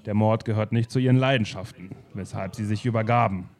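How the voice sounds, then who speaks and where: A man with a lowish, mellow voice plays a detective in an improvised radio play. He lays out the case in a calm, deliberate way. Faint music runs behind him. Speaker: improv actor playing the detective.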